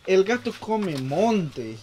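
A woman's voice: medium pitch, very animated, very slow, background noise. A woman with a Guatemalan accent telling teasing stories in casual conversation outdoors. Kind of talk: casual group chat, teasing storytelling. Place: outdoors in a rural yard. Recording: outdoor group chatter behind the voice.

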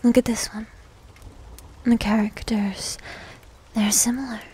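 A woman playing a needy, teasing succubus demon girl in an ASMR roleplay, speaking in a soft, breathy whisper with a coy manner. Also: a little high, level tone, a little slow.

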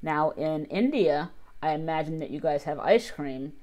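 A woman's voice: sits low, animated, normal speed, warm tone. An American woman giving casual reaction commentary to a video, speaking into a headset. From United States.

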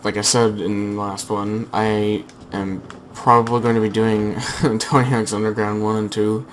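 A young man casually chatting over his gameplay through a headset, slightly hoarse, rambling with fillers and restarts. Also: fairly low pitch, flat delivery, normal speed, slight background noise. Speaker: gaming channel host.

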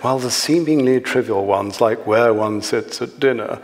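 An English man voicing a world-weary, obsequious, pompous upper-class gentleman in a satirical novel. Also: mid-range voice, normal speed, natural voice. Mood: wearily sardonic. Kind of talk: satirical novel read aloud. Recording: echoey hall, clear background noise.

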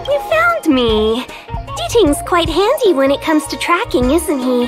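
An actress playing a poised, playful young businesswoman and guide in a video game, gracious and teasing, with polished, unhurried delivery. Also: very high-pitched, sing-song, music in the background, full character voice. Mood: pleased, teasing.

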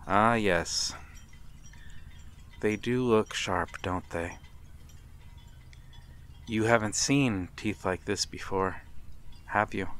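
A man playing a brooding, courteous vampire with quiet menace in a soft-spoken, theatrical ASMR roleplay, dropping into whispers. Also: fairly low pitch. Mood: sly, courteous. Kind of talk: ASMR roleplay, dramatic monologue.

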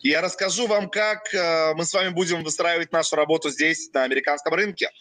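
Bright, high-voiced young Russian man. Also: steady tone, no room sound. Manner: speaks in short phrases, pausing for his interpreter. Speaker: company president. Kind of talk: webinar presentation.

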